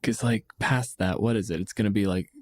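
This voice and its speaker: A man's voice: fairly low pitch, fairly quick, darkish tone. A man co-hosting a podcast, chatting casually about tabletop games in a blunt, profane, joking way. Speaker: podcast host.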